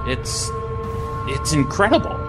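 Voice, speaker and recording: An actor playing a meek, wryly obedient young lab assistant in a science fiction audio drama, hesitant and deferential. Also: male voice, fairly high pitch, very animated, measured pace. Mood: awed. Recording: music behind the voice.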